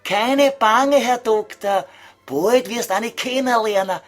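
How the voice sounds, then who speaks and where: A man's voice: very high voice, takes it slowly. A man voicing a sly, crude devil who coaxes and mocks in Viennese dialect, in an audio comedy sketch. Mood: coaxing, mocking. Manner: coaxing, vulgar, mocking.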